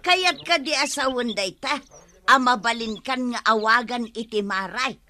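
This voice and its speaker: Flattering village helper, Ilocano accent, slow and very high, coaxing radio comedy delivery. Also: female voice. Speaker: radio drama actor.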